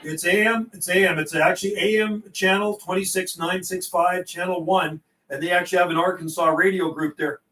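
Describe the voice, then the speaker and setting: A man's voice: high voice, fairly even intonation, a little fast, hardly any pauses, sharp tone, echoey room, far from the mic, slight background noise, low-quality recording. A middle-aged man chatting casually over CB radio on a livestream, folksy and given to repeating himself. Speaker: CB radio operator and livestream host.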